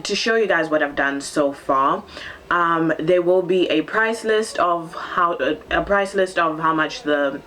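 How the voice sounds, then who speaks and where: A woman's voice: fairly low pitch, a bit bright, slight background noise. A seventeen-year-old girl, originally from Zambia and raised in Cape Town, chatting casually in a get-to-know-me vlog, bubbly and full of ums. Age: teens. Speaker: vlogger.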